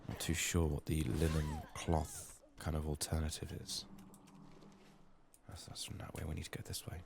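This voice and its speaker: A man giving soft-spoken, partly whispered game playthrough commentary, murmuring his thoughts aloud in an unhurried, casual way, his voice strained from being sick. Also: extremely low pitch, monotone, creaky, noisy background. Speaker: gaming video host.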